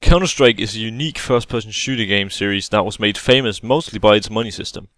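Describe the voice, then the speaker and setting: A man's voice: lowish voice, fast, a bit bright. Man, hesitant game tutorial. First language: Danish. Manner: hesitant, many fillers and restarts, casual explaining. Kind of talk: gameplay tutorial voice-over.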